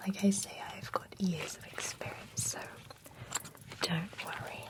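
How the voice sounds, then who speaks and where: A woman whispering as a chatty female vampire who grooms a werewolf at a spa, soft and reassuring. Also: medium pitch, fairly even intonation, measured pace, close to an everyday voice. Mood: whispery, reassuring. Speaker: ASMR roleplay performer. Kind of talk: whispered ASMR roleplay.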